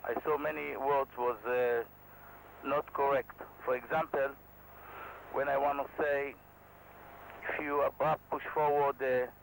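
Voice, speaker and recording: An Israeli man, a helicopter instructor, speaking to camera in halting non-native English with a modest, self-deprecating manner. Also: mid-range voice, gravelly, slight background noise, rough recording. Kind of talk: candid talk to camera.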